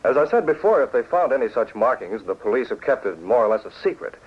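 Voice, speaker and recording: Husky, animated shrewd publisher. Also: male voice, medium pitch, quick pace. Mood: shrewd, measured. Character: confident, shrewd newspaper publisher and secret crimefighter. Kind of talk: 1940s radio acting. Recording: dull lo-fi 1940s radio recording.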